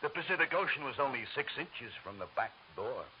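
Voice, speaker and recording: An actor playing a dopey working-class mover in an old radio drama, slangy, offhand and a little stammering. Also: male voice, mid-range voice, very animated, fairly quick, lightly acted. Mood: puzzled, wary. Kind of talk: 1940s radio acting. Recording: muffled, hissy old radio recording.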